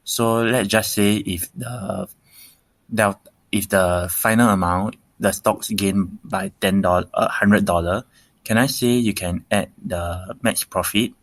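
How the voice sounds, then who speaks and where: Deep-voiced man, slow and halting. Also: steady tone, no room sound. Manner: halting, rewords as he goes. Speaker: caller leaving a voicemail question. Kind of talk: hesitant spoken question.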